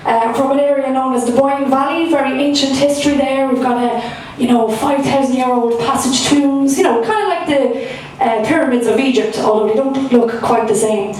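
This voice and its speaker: A young Irish woman does a stand-up set in a club, with a fairly high voice and a loose, self-mocking delivery full of fillers. She sounds far from the microphone, and the room echoes heavily around her. A faint hum of room noise sits underneath. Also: moderate pace, few pauses, darkish tone. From Ireland. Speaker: stand-up comedian. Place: comedy club stage.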